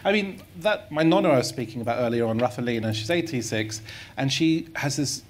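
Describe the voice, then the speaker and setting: A man on a festival panel, telling stories in a lively, chatty, quick way. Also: medium pitch, slight background noise. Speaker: comedian on a panel. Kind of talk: panel discussion. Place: festival stage.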